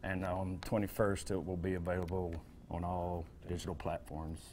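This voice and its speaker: A man in his thirties from Kentucky, a musician guest giving brief, laid-back answers with pauses on a TV studio set. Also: deep voice, level tone, background noise. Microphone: stand mic.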